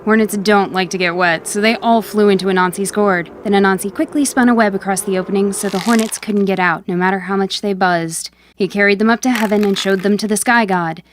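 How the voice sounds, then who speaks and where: A woman with a medium-pitched voice narrates a storybook tale as host. Her scripted delivery is clear and measured. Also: fairly even intonation, slight background noise.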